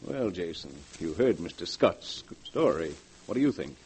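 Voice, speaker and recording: An actor playing a smug, cynical wealthy businessman in 1940s radio drama style, sardonic, condescending and deliberate. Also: sits low, big pitch swings, noisy background. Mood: sly. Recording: muffled vintage 1940s radio recording.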